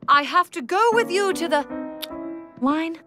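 An actress playing a bossy, scatterbrained cartoon amateur thespian who gushes theatrically. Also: female voice, high voice, very animated, slow, exaggerated voice. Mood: melodramatic. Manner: overdramatic, gushing. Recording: music behind the voice.

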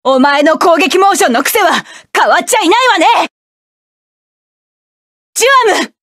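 A woman's voice: very high-pitched, expressive, moderate pace, a bit bright, dry recording, studio quality. An actress voicing a proud, commanding adult woman mecha pilot, delivering curt battle lines for a video game. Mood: fierce, commanding. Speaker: game character.

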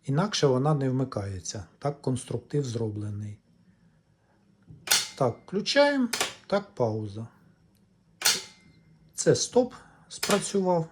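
A man's voice: mid-range voice, very animated, slow pace, pauses often, background noise. A man giving a casual, step-by-step repair demonstration, explaining in a hesitant way. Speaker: electronics repair vlogger.

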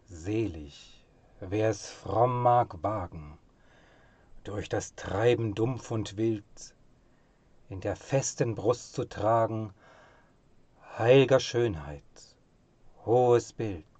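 A man with a low voice recites poetry very slowly and solemnly. His delivery is measured and expressive, easing into hushed phrase endings. The sound is dry, with hardly any room around it. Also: breathy edge, dry recording.

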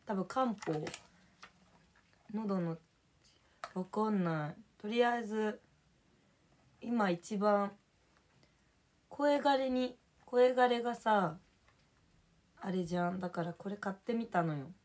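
A young woman with a hoarse voice, chatting casually on a livestream and thanking viewers for gifts. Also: mid-range voice, slow, long pauses, a little mellow. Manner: chatty, drifts between topics and reading out viewer names.

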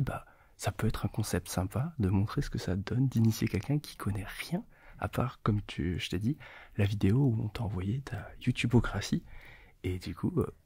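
A young man speaks very quietly in a whisper, hosting a casual interview. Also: lowish voice, creaky, breathy edge, dry recording. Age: young adult. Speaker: host. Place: small indoor studio with sofa. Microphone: shared desktop condenser microphone.

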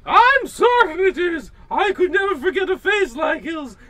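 A man's voice: extremely high pitch, big pitch swings, normal speed, pushed and bright, heavily acted. A man acting an indignant, accusing housewife in an old-time radio comedy. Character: indignant, accusing housewife robbed of her pies.

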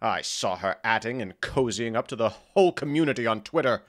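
A man acts out a wounded, petty fairy lover in an audio drama. His mid-pitched voice rises and falls animatedly, arch and passive-aggressive as he nurses his jealousy. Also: expressive, brisk, natural voice. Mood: petty, aggrieved. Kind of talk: conversational audio drama acting.

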